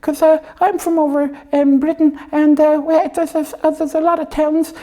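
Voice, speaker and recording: A woman's voice: high voice, little pitch movement, moderate pace, mellow, lightly acted. A woman voicing a silly, self-assured slipper puppet who rambles through comic stories in a hesitant, matter-of-fact way. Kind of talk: improvised comic puppet show storytelling.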